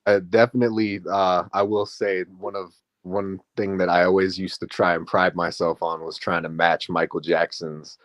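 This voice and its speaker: A man being interviewed about his music, talking in a relaxed, laid-back way. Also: low-pitched, moderate pace, no room sound. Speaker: guest musician. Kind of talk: casual interview chat.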